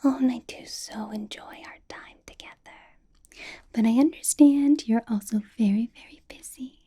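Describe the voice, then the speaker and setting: A woman playing a doting, possessive vampire boss in a soft, breathy whispered ASMR roleplay, coaxing and fussing with a lightly bossy edge. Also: on the high side, moderate pace. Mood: affectionate, possessive. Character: doting, possessive female vampire boss, older than she looks.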